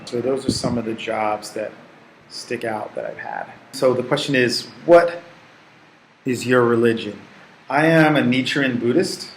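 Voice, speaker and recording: A man in his forties, from the United States, answering interview questions in a relaxed, reflective way, with fillers and restarts. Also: medium pitch, echoey room, slight background noise, rough recording. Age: 40s. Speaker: English teaching assistant.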